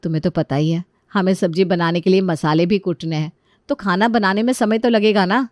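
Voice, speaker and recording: A woman voicing a dutiful, traditional elder sister-in-law who explains gently and reassuringly in a family story. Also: on the low side, brisk, dry recording, close to an everyday voice. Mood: resigned. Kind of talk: acted Hindi family story dialogue.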